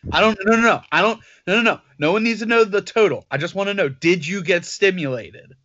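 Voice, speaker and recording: A man co-hosting a podcast talks in loose, rambling banter, mocking himself as he goes. His voice is bright and a touch high, and it sounds dry and slightly dull, recorded close on a lo-fi mic. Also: a little fast, dry recording. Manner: joking, rambling, self-mocking. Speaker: podcast co-host. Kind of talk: casual banter.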